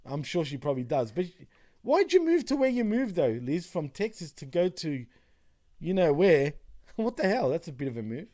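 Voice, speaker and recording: A man's voice: a little high, sing-song, moderate pace, dark tone. An Australian man co-hosting a live podcast in a laid-back, chatty way, laughing often and reading chat comments aloud. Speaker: podcast co-host.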